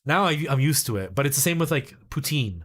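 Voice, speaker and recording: A man's voice: fairly high pitch, lively intonation, quick pace, fairly bright tone. A man from Canada with a generic Canadian English accent, speaking English as a second language and chatting casually as he streams a video game. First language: not English. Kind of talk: casual livestream chat.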